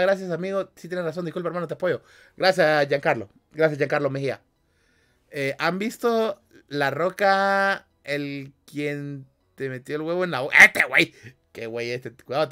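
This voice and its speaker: A man's voice: a little high, a bit bright. Joking Honduran man streaming from his bedroom. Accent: Honduran Spanish. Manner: joking, slangy, laughs often. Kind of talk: casual livestream chat reading comments. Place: small bedroom. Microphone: headset with desk mic.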